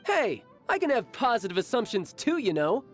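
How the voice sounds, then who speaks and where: Confident, battle-hungry young warrior, lively lilt. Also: male voice, extremely high pitch, moderate pace, soft background music. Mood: protesting. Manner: smooth, theatrical, self-assured. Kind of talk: video game cutscene acting.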